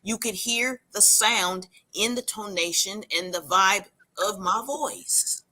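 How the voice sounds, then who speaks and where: A woman's voice: medium pitch, pushed and bright. A woman from Puerto Rico, a hairstylist, making her point emphatically on a livestream panel. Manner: emphatic, preachy, drawn-out lists.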